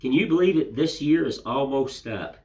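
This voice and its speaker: An older man with a raspy, hoarse voice from a cold, chatting casually and rambling as he hosts his woodcarving channel. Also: mid-range voice, expressive, normal speed, darkish tone. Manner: rambling, homespun, folksy filler phrases. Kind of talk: casual chat to camera.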